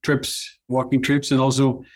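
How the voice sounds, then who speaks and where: Brief: middle-aged Norwegian-accented man, guest on an interview, mid pitch, hesitant and full of restarts. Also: completely flat delivery. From Norway. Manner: halting, many restarts and fillers. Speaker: guest, company cofounder. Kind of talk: casual interview chat.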